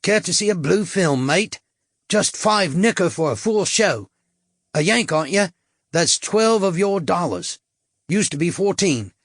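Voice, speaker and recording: Male reader doing a brash tout, higher voice, oily salesman patter, dry sound. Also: no room sound, full character voice. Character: sleazy male London street tout, pushy.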